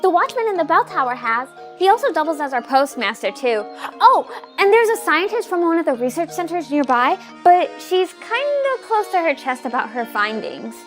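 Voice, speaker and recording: Casting: actress as bubbly fantasy townswoman, very high youthful voice, chatty delivery, music underneath. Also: very animated, normal speed. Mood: mysterious. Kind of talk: fantasy audio drama roleplay.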